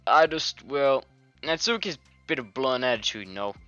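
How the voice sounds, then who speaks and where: Man voicing a fretful, self-critical schoolgirl, animated delivery. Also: medium pitch, normal speed, dry recording, lo-fi sound, faint music behind. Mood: upset. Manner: fretful, hesitant. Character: earnest, self-critical schoolgirl club president. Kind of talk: video game fan voice-over.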